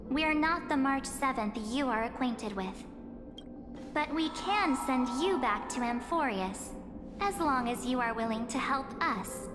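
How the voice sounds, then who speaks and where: An actress playing an uncanny memory spirit who poses as a perky girl in a video game, teasing, breezy and persuasive. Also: high-pitched. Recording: long hall reverb, game music behind.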